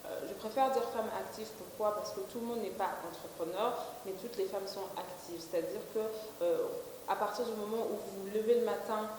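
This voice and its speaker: A woman's voice: medium pitch, fairly quick. Woman, twenties, company director; hesitant interview answers; roomy reverb. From Belgium. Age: 20s. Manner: hesitant, many fillers and restarts. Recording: echoey room with faint hiss.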